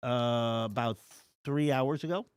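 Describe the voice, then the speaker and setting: A man's voice: medium pitch, a little slow, smooth, dry recording. A male co-host on a live show, explaining news with many restarts and hesitations. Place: studio.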